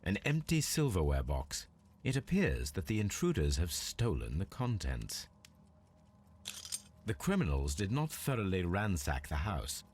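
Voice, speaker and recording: A composed Victorian detective with a low British voice that moves expressively as he reasons aloud. He is precise and formal, in a video game style, with faint noise behind him. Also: wildly swooping pitch, darkish tone, heavily acted. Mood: measured, analytical. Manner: measured, analytical, precise, formal. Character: shrewd, composed male Victorian English detective. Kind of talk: video game acting, observations spoken to himself.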